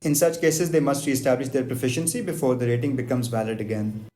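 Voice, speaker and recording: A man delivers a scripted aviation lesson against the clock. He moves fast and reads technical terms in steady, list-like runs. A faint background hiss sits under his voice. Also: mid-range voice. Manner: brisk, even, list-like reading of technical terms. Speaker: aviation explainer host. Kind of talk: scripted educational narration, just-a-minute format.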